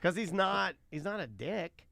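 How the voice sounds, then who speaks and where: A man's voice: high-pitched, lively intonation, measured pace, vocal fry, pushed and bright, no room sound, studio quality. A man hosting a casual radio talk show, riffing in rambling explanations and acting out little asides.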